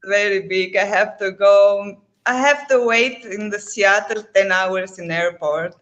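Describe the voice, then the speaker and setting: A woman from Greece, a guest fighter speaking slow, halting, simple English over a video call. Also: medium pitch, a bit bright, muffled recording. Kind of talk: casual interview.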